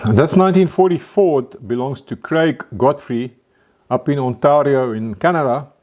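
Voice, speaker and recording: A middle-aged South African man with an Afrikaans accent talks through his car-building vlog in a relaxed, chatty way. He chuckles often and stumbles over a word now and then. His voice sits at an ordinary middle pitch. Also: measured pace. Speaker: car builder hosting a community show. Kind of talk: casual vlog chat.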